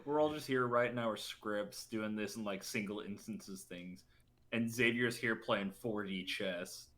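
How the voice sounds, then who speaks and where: A man's voice: fairly low pitch, a little slow, fairly bright tone. A man joking casually with friends on a group call for a live stream. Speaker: member of a writing and performing group.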